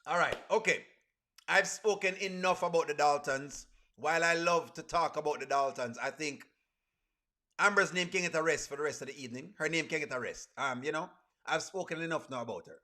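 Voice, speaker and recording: Bright, high-voiced Caribbean man chatting. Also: brisk. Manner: repeats phrases emphatically. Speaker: livestream host. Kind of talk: casual reaction livestream, chatting with viewers.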